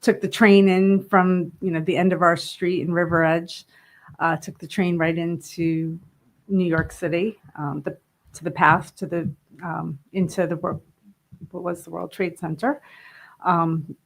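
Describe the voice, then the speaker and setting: A woman in her fifties with a somewhat low voice, talking easily as an interview guest. She laughs often and trails off with small fillers as she tells her story. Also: level tone, a little slow, rough recording. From northern New Jersey, USA. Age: 50s. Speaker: interview guest, brewery co-owner. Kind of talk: casual interview.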